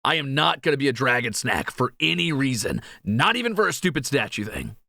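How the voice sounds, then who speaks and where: A cocky young fantasy thief, acted by a man, swinging animatedly from bragging to jitters. His voice is bright and raised, with some creak at the edges, recorded close and dry. He jokes and exclaims readily, in audio roleplay style. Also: medium pitch, dry recording, full character voice. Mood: playful, resolved. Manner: joking, animated, quick to exclaim.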